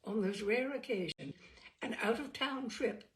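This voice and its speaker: A woman reading a literary short story aloud expressively, with deliberate dramatic emphasis and pauses. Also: on the high side, slight background noise. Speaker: storyteller, audiobook reader.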